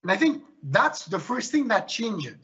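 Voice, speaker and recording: Passionate high-voiced Swiss-born man. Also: very animated, measured pace, a bit bright, husky, highs cut off. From Switzerland. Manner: passionate, emphatic. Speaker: winemaker. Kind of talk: panel discussion.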